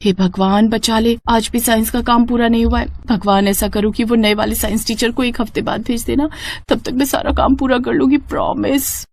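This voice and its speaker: A woman's voice: fairly high pitch, steady tone, normal speed, gravelly, darkish tone, a little hiss or hum. A woman voicing a bratty, mocking teenage schoolgirl with a whiny, cheeky way of talking. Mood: pleading.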